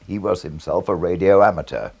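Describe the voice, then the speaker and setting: A British man presenting a documentary, telling the story in a measured, scripted way with conversational asides. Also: low-pitched, creaky, a little mellow, breathy edge, no echo.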